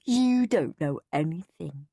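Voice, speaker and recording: A woman's voice: sits low, lively intonation, slow pace, mellow, breathy edge, no echo, studio quality, exaggerated voice. A woman voicing a horrid young boy, boastful, cowardly and melodramatic, in a children's storybook. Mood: taunting. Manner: scornful, melodramatic.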